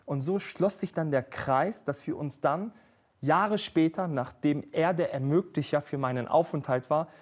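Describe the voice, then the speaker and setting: A man's voice: a little high, slightly rough. A young man telling his story as a guest on a TV sofa interview, speaking eagerly into a lapel mic. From Germany. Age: young adult. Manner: fast, eager storytelling with animated hand gestures. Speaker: politician guest. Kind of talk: conversational TV interview. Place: TV studio sofa set.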